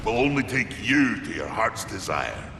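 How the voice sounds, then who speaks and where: A gruff, steadfast giant in a dramatic video game scene. His low, gravelly voice moves slowly and weightily, rallying the listener, with an echo around it. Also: sing-song, pushed and bright, full character voice. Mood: solemn resolve. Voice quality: deep, gravelly. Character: gruff, steadfast male giant smith rallying a fallen warrior. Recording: noisy, echoing stone hall.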